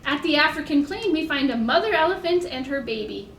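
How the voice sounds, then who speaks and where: A woman leading a toddler storytime in simple short phrases, with an animated, sing-song, encouraging manner. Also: high-pitched, brisk, a little hiss or hum. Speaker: children's librarian leading storytime. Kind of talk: toddler storytime, singing-along instruction and read-aloud. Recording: echoey room.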